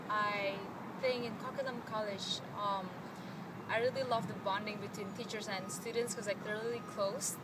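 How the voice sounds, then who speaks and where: A young woman from Indonesia talks to the camera outdoors with eager energy, correcting herself as she goes. Her voice comes from a few meters off and sounds distant. Loud street noise sits beneath her. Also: medium pitch. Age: young adult. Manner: eager, with fillers and self-corrections. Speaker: university student giving a testimonial. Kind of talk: casual spoken testimonial to camera. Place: outdoors in front of a campus building. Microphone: camera mic from a few meters away.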